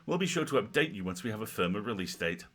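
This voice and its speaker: A man's voice: on the low side, very animated, fast talker, a bit bright. A man co-hosting a livestream, moving between casual chat and reading game narration aloud.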